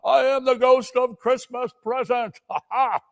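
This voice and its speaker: Jolly giant spirit, booming and loud, raised pitch, hearty laughter, storybook drama. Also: male voice, fairly even intonation, measured pace, creaky, full character voice.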